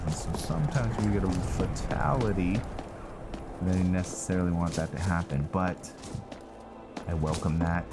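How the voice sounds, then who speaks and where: Very deep-voiced, unhurried male gamer. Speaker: gamer, streamer. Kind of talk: casual game roleplay commentary. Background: loud game music.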